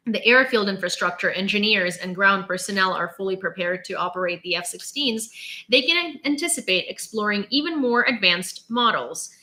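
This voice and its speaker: A woman's voice: medium pitch, bright, forceful tone. A Ukrainian-born woman in her late twenties with a near-American sound, hosting a live news stream and chatting sassily with viewers. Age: late 20s. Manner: chatty, sassy, quick asides to chat.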